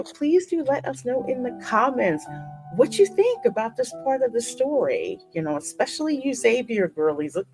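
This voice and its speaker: A woman's voice: fairly high pitch, darkish tone, poor audio. A woman hosting a gaming channel, chatting casually and effusively, repeating words for emphasis. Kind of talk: casual gaming commentary and chat. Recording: music playing behind the voice. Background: music.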